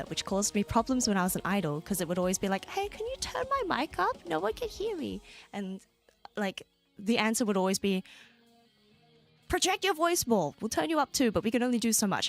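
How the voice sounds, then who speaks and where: A young Australian woman streamer chatting casually with viewers, laughing often and jumping into high squeals. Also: big pitch swings, brisk. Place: home room. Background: soft background music.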